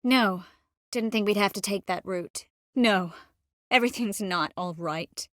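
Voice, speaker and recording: Game sorceress, woman actor: poised, warm-hearted, composed, wry teasing edge; mid-pitched, very dry studio sound. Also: unhurried, no room sound, natural voice. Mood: weary, frustrated. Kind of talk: scripted game character dialogue.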